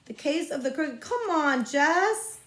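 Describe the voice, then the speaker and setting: A woman's voice: high-pitched, animated, slow pace, a bit bright, roomy sound, pitched above the performer's own voice, full character voice. A woman voicing a cocky, pushy fifth-grade boy with a slangy, needling manner in a storybook reading. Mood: wheedling.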